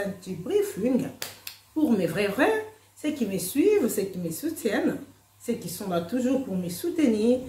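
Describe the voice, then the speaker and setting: A woman with a Congolese French accent, host of an online news channel, chatting casually to her viewers, dropping in "hein" and slipping into Lingala. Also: a little high. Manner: animated, chatty, sprinkles "hein", slips into Lingala. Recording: roomy echo.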